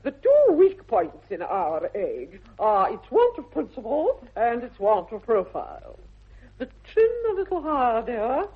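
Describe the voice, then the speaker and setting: Imperious, swooping upper-class English dowager. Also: female voice, on the high side, wildly swooping pitch, measured pace, breathy edge, exaggerated voice. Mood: commanding. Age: older. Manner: grand, haughty, emphatic drawl. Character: imperious, snobbish older aristocratic English aunt. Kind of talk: 1960s radio comedy acting. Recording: muffled, tinny vintage radio recording.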